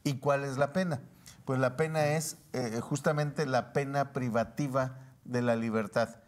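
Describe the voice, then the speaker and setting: Mexican man explaining in measured detail. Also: mid-range voice, steady tone. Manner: didactic, defines terms step by step. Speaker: tax law commentator on a panel show. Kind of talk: explanatory talk show discussion.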